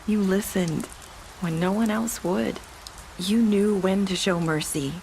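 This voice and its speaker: An actress playing a weary, sorrowful young woman in a video game drama, speaking in a halting, earnest, quietly intense way. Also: mid-range voice, close to an everyday voice, poor audio. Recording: steady rain noise behind the voice.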